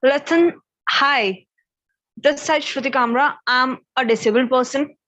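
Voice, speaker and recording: A woman's voice: high-pitched, unhurried, fairly bright tone. A woman, speaking while lying in bed and asking blunt, abrupt questions in a casual chat. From Delhi, India. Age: 20s.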